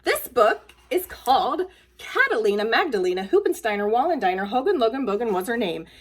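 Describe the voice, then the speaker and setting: A woman's voice: fairly high pitch, big pitch swings, a little fast. A woman speaking casually and simply to children, in the manner of a school nurse at story time. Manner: plain, simple, child-directed phrasing. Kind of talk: casual talk to children and read-aloud storytelling.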